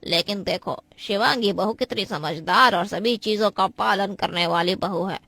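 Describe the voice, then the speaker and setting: Actress as older auntie guest in cartoon drama, bright forceful voice, moralising, dry sound. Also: female voice, medium pitch, normal speed, gravelly, dry recording. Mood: admonishing. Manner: admonishing, moralising. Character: preachy older female guest advising young wife. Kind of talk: Hindi cartoon family drama.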